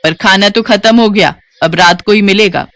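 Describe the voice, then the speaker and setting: A woman's voice: medium pitch, moderate pace, fairly bright tone, no echo, natural voice. A woman voicing a mean, deceitful village aunt who scolds with scorn and threats. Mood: dismissive.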